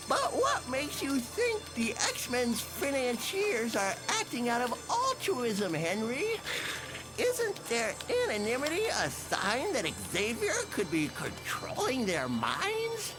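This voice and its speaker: A scornful young female mutant, high and very animated in pitch. She talks slowly, cynical and coquettish, in comic-book drama over music. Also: exaggerated voice, low-quality recording. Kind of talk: dramatic motion comic voice acting.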